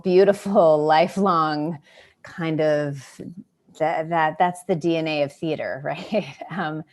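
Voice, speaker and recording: A woman's voice: deep voice, a little mellow. A woman playwright giving an impromptu heartfelt speech on a video call, effusive and repeating phrases for emphasis.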